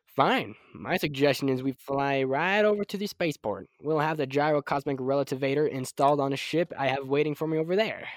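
A young actor playing an excitable scientist doctor in a classic radio space adventure. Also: mid-range voice, a little fast, no room sound, clean studio sound, close to an everyday voice. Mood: earnest. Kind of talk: classic radio drama acting.

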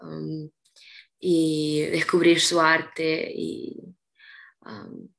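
A young woman from Peru talking slowly as an interview guest, often pausing and starting her sentences over. Her voice sits a little low and has a gravelly fry to it. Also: animated, fairly bright tone. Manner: hesitant, many ums and restarts. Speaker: interview guest, artist's model. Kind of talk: casual interview chat.

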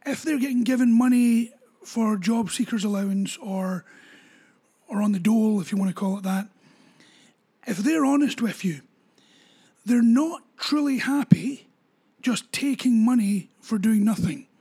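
A woman with a husky voice, a dog trainer explaining training ideas step by step with everyday examples. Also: fairly high pitch, a little slow, long pauses, dark tone. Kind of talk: explanatory teaching talk.

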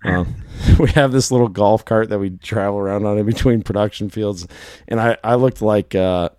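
A man hosting a casual podcast, his voice hoarse and worn, chatting and telling stories in quick bursts. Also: fairly low pitch, mellow, no room sound, clean studio sound. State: hoarse from shouting. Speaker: podcast host.

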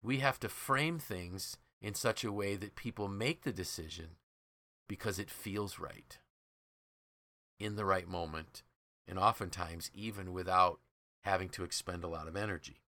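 A man's voice: sits low, moderate pace, sharp tone. A man hosting a podcast, explaining ideas from a book in a conversational, emphatic way. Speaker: podcast host.

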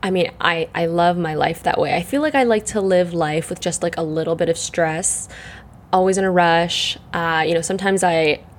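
A young woman hosting her solo podcast, chatting in a rambling way full of fillers, her voice croaky and congested from the morning. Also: lowish voice, moderate pace, a little hiss or hum. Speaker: podcast host. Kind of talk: casual solo chat.

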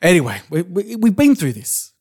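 A man's voice: sits high, huge pitch swings, normal speed, darkish tone, slightly rough. A man with an Australian accent co-hosting a podcast, arguing his points insistently and swearing casually. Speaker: podcast co-host.

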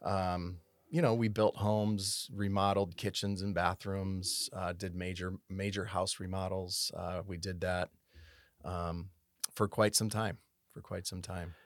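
A man in his forties from Massachusetts, a city services manager giving an unhurried, detailed interview with frequent pauses. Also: low voice, level tone, no room sound, studio quality. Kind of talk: casual interview conversation.

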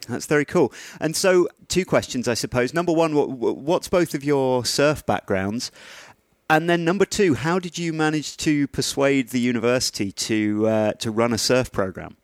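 A man hosting an interview podcast, talking in a relaxed way with many fillers and restarts. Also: mid-range voice, normal speed, no room sound. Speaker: podcast host.

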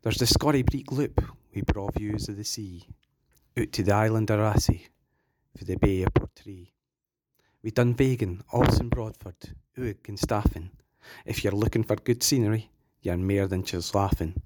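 A man with a Scottish accent reciting a rhyming poem in a steady rhythm. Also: lowish voice, moderate pace, dry recording. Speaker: poetry reader. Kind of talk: poetry reading.

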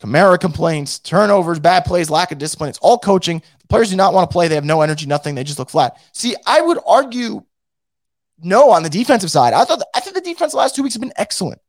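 A hoarse man who is losing his voice hosts a casual fan show and reads out the live chat, blunt and profane, with many restarts. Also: high-pitched, big pitch swings, fast, no echo. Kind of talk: casual post-game rant.

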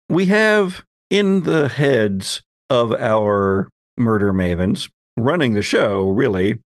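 Slow, theatrical male storyteller, dry close sound. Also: medium pitch, no echo. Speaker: podcast host and game master. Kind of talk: storytelling narration of a tabletop roleplay game.

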